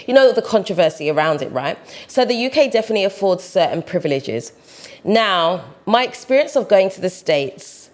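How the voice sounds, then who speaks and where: A young woman from the UK, talking casually to camera as a vlogger, often tagging her sentences with "right?" and "you know". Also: fairly low pitch. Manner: chatty, peppers talk with "right?" and "you know".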